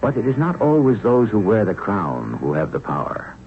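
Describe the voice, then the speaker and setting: An older man hosting a radio drama, narrating in a measured, theatrical way with a gravelly voice. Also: lowish voice, very animated. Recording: dull old radio broadcast. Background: dramatic music.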